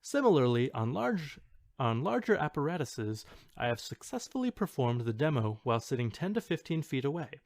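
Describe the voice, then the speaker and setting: A man's voice: mid-range voice, big pitch swings, moderate pace, a little mellow, no echo. A man dramatically reading an online post as a self-assured, earnest claimant of secret mental powers. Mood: matter-of-fact. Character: self-assured forum poster boasting of telekinetic powers.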